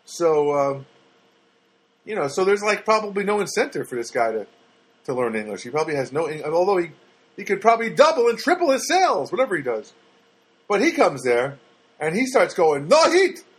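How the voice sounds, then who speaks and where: A man's voice: on the high side, big pitch swings, brisk, slightly rough. A man telling stories in a casual, rambling and sarcastic monologue, with many fillers and restarts.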